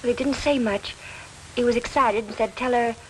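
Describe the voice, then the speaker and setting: A woman's voice: a little high, normal speed, a little hiss or hum, natural voice, low-quality recording. A woman playing a subdued, worried accused woman. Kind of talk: 1940s film acting.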